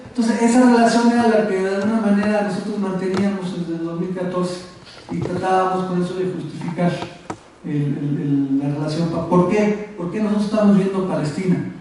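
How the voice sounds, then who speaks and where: A very echoey, distant recording with faint noise carries a man speaking at a conference. His Mexico City accent comes in a high-pitched voice. He presents informally and haltingly, repeating words as he goes. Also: warm tone. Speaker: activist speaker on a conference panel. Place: university auditorium.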